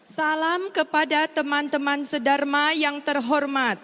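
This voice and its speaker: Very high-pitched Indonesian woman in a hall. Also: steady tone, measured pace, fairly bright tone. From Riau, Indonesia. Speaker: testimony speaker. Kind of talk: prepared personal testimony speech. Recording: long hall reverb, faint background noise.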